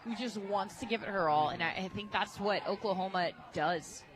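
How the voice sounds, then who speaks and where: A woman working as a radio color analyst, giving informal softball commentary and thinking aloud. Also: medium pitch, moderate pace, a crowd in the background, noisy background. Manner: informal, thinks aloud, repeats phrases for emphasis. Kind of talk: conversational live softball analysis.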